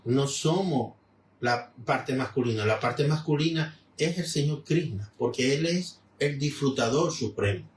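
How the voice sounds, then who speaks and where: A man reading a devotional text aloud and explaining it in a measured way with frequent restarts. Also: mid-range voice, animated, far from the mic. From Venezuela. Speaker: religious lecturer reading and commenting. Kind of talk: spoken reading with commentary. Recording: echoey room, off-mic with faint noise.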